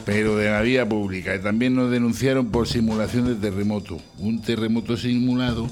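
Un hombre con acento andaluz, miembro de un grupo, que cuenta sus peripecias en tono coloquial y desenfadado. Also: male voice, on the low side, fairly even intonation, brisk, darkish tone, faint music behind. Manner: laid-back, slangy storytelling. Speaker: band member recounting events. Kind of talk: documentary-style testimony.

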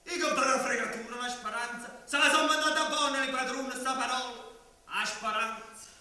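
A man's voice: very high voice, fairly even intonation, moderate pace, pushed and bright, full character voice. A man with a southern Italian accent playing a crafty, boastful, self-pitying servant, declaiming emphatically in a stage comedy. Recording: echoey hall, distant mic.

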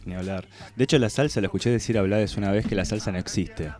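A man's voice: fairly low pitch, quick pace, darkish tone. A man with a Rioplatense Argentine accent chatting informally and with slang as a co-host on a radio panel. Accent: Rioplatense Argentine Spanish. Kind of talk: casual radio chat. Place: radio studio. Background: music.

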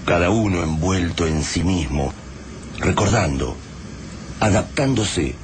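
An actor as the grave storyteller of an apocalyptic tale, narrating a radio drama in an Argentine accent. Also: male voice, sits low, animated, takes it slowly. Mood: grave, atmospheric. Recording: noisy atmospheric sound bed.

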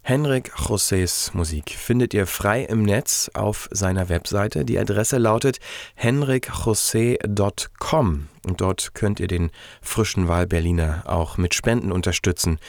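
A man's voice: fairly low pitch, unhurried, gravelly, dry recording. A male radio host giving a plain, informative scripted sign-off to his music show.

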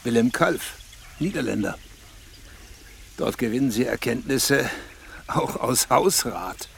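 An actor playing a stately, self-assured prince in a German radio play, speaking formally and measuredly in a gravelly voice. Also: male voice, medium pitch, lively intonation, slow pace, a bit bright, slight background noise, heavily acted. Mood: regal, composed. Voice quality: very creaky, gravelly.

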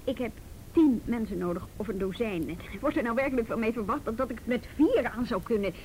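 An actress playing an earnest, frustrated police inspector in a radio drama, polite and hesitant but insistent. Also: female voice, on the high side, expressive, brisk, darkish tone, lightly acted, poor audio. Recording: radio drama with faint background noise.

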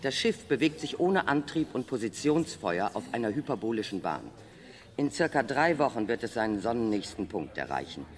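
An actor playing a defensive, impatient space agency spokesperson giving formal press statements in a radio drama. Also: male voice, mid-range voice, very animated, moderate pace, lightly acted. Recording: long hall reverb.

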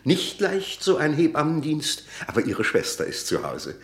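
Fussy, garrulous pharmacist, animated. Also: male voice, on the high side, moderate pace, creaky, exaggerated voice. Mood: sorrowful, insistent. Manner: rambling, repeats phrases, sentimental. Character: fussy, garrulous provincial pharmacist, mournfully dwelling on the past. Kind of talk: German radio drama acting.

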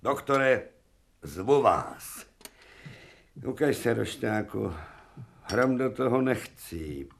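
An actor playing a domineering older surgeon professor who barks curt, impatient orders in a radio play. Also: male voice, mid-range voice, animated, creaky, long pauses. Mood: brusque.

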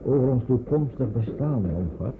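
A sober middle-aged father and refugee played by a man in a radio play. He is earnest and measured, his pitch moving widely, on a tinny old narrow-band recording. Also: lively intonation, background noise, lightly acted. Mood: grave, cautious. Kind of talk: radio drama acting.

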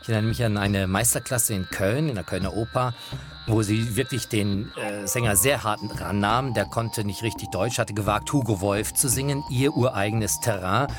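A man's voice: lowish voice, moderate pace. Man telling anecdotes over music. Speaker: narrator telling anecdotes about opera singers. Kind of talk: spoken anecdotal storytelling.

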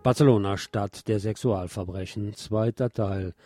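A man's voice: lowish voice, unhurried. A man presenting on radio, reading scripted news and commentary. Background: music.

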